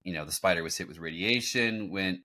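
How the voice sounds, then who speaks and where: Guy with a lowish voice hosting a podcast, chatty recap, kinda stop and start. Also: a little fast, pushed and bright. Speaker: podcast host. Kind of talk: casual recap commentary on a cartoon. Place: home room, on a group video call.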